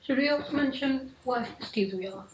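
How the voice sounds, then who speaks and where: A woman's voice: sits high, quick pace, slight background noise. A woman, talking earnestly in a casual podcast discussion, often saying "like". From England (Manchester). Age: 20s. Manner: earnest, frequent "like" fillers. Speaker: podcast participant. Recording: roomy, dull lo-fi recording.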